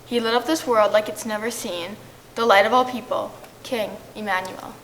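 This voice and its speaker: A woman's voice: mid-range voice, steady tone, moderate pace, a bit bright, slight background noise, rough recording. A woman giving a scripted poetic reading at a church service. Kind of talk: scripted poetic reading, shared among readers.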